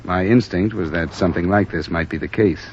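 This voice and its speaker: An actor playing a clipped, businesslike insurance investigator who narrates a 1950s radio drama. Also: male voice, sits low, fairly quick, a little mellow, slight background noise. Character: hard-boiled male insurance investigator, narrator. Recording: muffled old radio broadcast with music.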